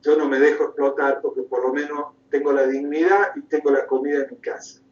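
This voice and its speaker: A man's voice: fairly high pitch, steady tone, brisk. A man with an Argentine Spanish accent giving an impassioned political lecture, emphatic and oratorical, with repeated phrases and rhetorical questions. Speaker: guest lecturer, political activist. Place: indoor room, recorded at a conference. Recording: roomy, distant mic, dull sound.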